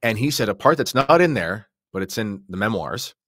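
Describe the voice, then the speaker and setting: Male podcast commentator, low register, enthusiastic explainer, dry recording. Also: expressive, normal speed, a bit bright, no room sound. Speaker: podcast guest or co-host commentator.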